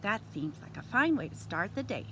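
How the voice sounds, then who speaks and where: A woman's voice: very animated, normal speed, lightly acted. A woman voicing a cheery, grandly enthusiastic little bird teacher in a theatrical read-aloud of a children's storybook. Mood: cheerful, reassuring. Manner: theatrical, exclaiming, chirpy. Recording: noisy room with background rumble.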